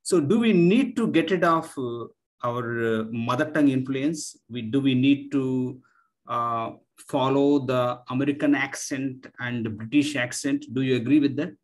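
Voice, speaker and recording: A man with a thick Indian accent hosts a video call, asking his questions slowly and hesitantly with frequent pauses and fillers. His voice sits at a mid pitch for a man. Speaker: host, teacher.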